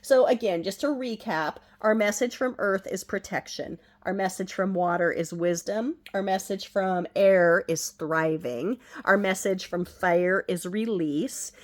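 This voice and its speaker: A middle-aged woman speaks gently and soothingly from home, leading an oracle card reading. Her voice is congested and nasal from a head cold, and she lets her words stretch out slowly. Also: medium pitch. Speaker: oracle card reader, channel host. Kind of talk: casual spiritual card reading. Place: at home, indoors.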